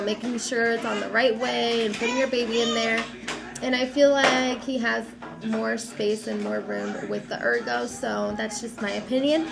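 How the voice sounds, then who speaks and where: A young mom talking straight to camera, her voice a bit raspy from a cold and edged with gravelly fry. She sits a little higher in pitch than most women and rambles in a friendly, unscripted way, full of "um" and "like". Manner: chatty, lots of "um" and "like". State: has a cold, slightly raspy. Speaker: mom vlogger. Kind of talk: casual chat to camera.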